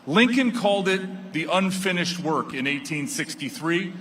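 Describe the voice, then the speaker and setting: A man delivering a formal, rhetorical speech at a ceremony with a solemn, measured cadence. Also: sits high, animated, pushed and bright, slight background noise. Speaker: speaker at a formal celebration.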